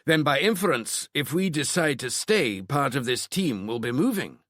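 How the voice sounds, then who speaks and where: A man's voice: medium pitch, sing-song, normal speed, fairly bright tone, no room sound, clean studio sound, pitched above the performer's own voice. A man voicing a blunt, sarcastic male detective in an audiobook, with a Glaswegian accent tinged with London. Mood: incredulous.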